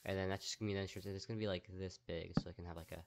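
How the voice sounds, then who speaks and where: A man's voice: low voice, fairly even intonation, racing, creaky, heavy background noise. Rambling young man, voice worn from talking. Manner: rambling, upbeat, thinks aloud with fillers. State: voice tired and strained. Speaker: gaming YouTuber. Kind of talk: casual gameplay commentary.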